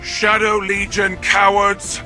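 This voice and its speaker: A proud alien warrior empress in a video game. She declaims slowly and grandly in a forceful, defiant voice, over music. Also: medium pitch, monotone, rough recording. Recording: music under the voice.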